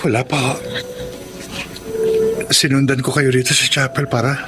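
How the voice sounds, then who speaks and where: A man's voice: medium pitch, flat delivery, measured pace, close to an everyday voice. An actor playing a remorseful young husband in a Tagalog radio drama, polite and pleading as he speaks to elders. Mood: earnest confession. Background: dramatic background music.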